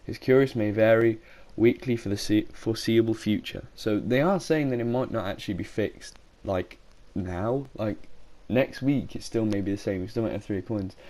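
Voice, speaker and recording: A man with a sore throat rambles through a casual gaming video, stopping often to correct himself. His voice sits fairly low and has a dark, mellow tone. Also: moderate pace, few pauses. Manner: rambling, frequent self-corrections. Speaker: gaming YouTuber.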